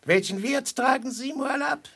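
An actor playing a shrewd, fatherly slave trader in a German radio play, with wheedling salesman patter that drops into muttered confidences and a husky voice. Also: extremely high pitch, moderate pace, fairly bright tone, full character voice.

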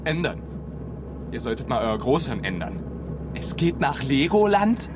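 An actor in a radio drama playing a sulky, foul-mouthed young workmate who complains defiantly. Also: male voice, mid-range voice, sing-song, unhurried, background noise. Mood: bitter, defiant. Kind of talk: naturalistic radio drama acting.

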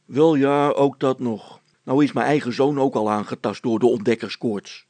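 A man's voice: medium pitch, steady tone, normal speed, a little mellow, no echo, natural voice. A man voicing an exasperated, wisecracking tinkerer in a children's story, grumbling in a dry, teasing manner. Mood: grumbling, fed up.

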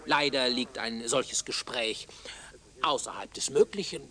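A man acting a busy, flattered older professor in a radio drama, speaking in a formal, lecturing way. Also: mid-range voice, a little slow. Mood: reluctant, modest.

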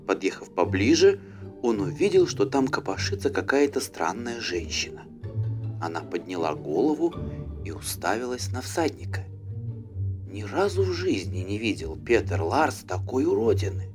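A man reading a fairy tale as an audiobook narrator, in an expressive storytelling style. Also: lowish voice, moderate pace. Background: faint music.

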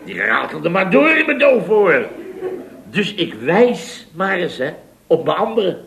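A man's voice: high-pitched, very animated, a little slow, gravelly, fairly bright tone, exaggerated voice. An actor playing a flustered, indignant, chatty man in a radio comedy, rambling and repeating himself as he tells his story. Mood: aggrieved, exasperated. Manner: rambling, repetitive storytelling with stammers and rhetorical tags. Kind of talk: radio comedy acting in a dialogue sketch. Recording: radio recording with audience behind.

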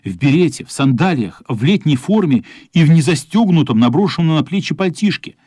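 A man's voice: a little high, lively intonation, fairly quick, dark tone, slightly rough, no room sound, studio quality. A man reading scripted storytelling narration for a radio play. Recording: music playing behind the narration.

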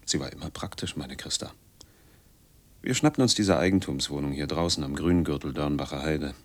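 An actor playing an embittered, noise-obsessed man in his forties, sardonic and intense, telling his story in a husky voice in a radio drama monologue. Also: very deep voice, fairly quick. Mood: resigned, rueful. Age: 40s. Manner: sardonic, intense, with clipped fragments.

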